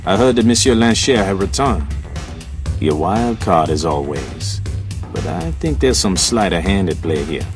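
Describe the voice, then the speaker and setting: An actor playing a suave, cunning mutant thief boss in a video game cutscene, smooth and cryptic. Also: male voice, big pitch swings, music in the background. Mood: sly. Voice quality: smooth, low and measured. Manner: cryptic, unhurried, secretive.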